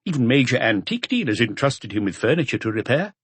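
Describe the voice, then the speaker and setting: A man voicing a polite, helpful male witness with a measured, forthcoming manner in an audiobook. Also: mid-range voice, big pitch swings, slightly rough, no room sound. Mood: polite, earnest.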